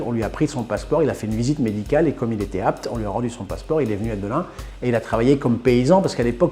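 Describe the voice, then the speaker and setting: A man's voice: mid-range voice, dark tone. A man in his sixties from northern France, a restaurateur telling his family story in an interview, thoughtful and often repeating words as he searches for them. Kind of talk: reflective interview talk.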